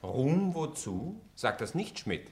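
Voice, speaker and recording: An actor playing a reflective, wistful middle-aged schoolteacher in a German radio play, articulate and musing. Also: male voice, fairly high pitch, sing-song, takes it slowly, a little mellow.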